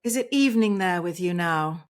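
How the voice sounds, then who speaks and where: A woman's voice: on the low side, animated, normal speed. Woman hosting an online chat. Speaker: podcast host. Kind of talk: online interview. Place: home in England. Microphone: video call.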